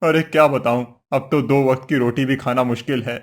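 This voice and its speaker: Earnest poor woodcutter, mid-pitched man acting. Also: steady tone, brisk, lightly acted. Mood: fretful. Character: poor, honest, simple-minded young woodcutter husband. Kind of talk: Hindi cartoon story voice acting.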